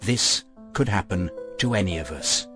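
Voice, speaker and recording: A man reading scripted narration as the announcer of a radio play, in a measured, formal manner. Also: low voice, expressive. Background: music under the voice.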